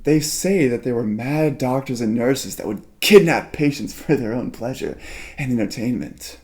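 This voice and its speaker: A man playing a sinister young ghost twin in an ASMR roleplay, teasing and romantic, with theatrical, drawn-out phrasing. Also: mid-range voice, a little mellow, close to an everyday voice. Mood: dreamily fascinated.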